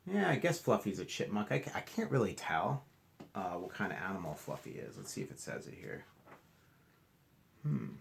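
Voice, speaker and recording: A man reading a storybook aloud to children like a teacher, expressively, pausing to ask questions and explain. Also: sits low, wildly swooping pitch, vocal fry, a little hiss or hum. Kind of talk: interactive storybook read-aloud.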